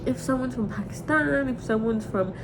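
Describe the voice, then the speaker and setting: High-voiced young woman, noisy rumbling recording. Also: normal speed. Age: 20s. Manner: candid, emotional, repeats herself for emphasis. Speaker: vlogger. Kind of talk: rambling confessional personal talk. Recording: clearly noisy with low rumble.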